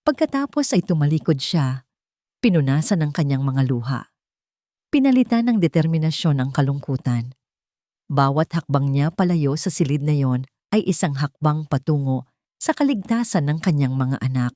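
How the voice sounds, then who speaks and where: Woman narrating a dramatic story, even-pitched. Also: normal speed, no echo, studio quality. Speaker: narrator of love stories.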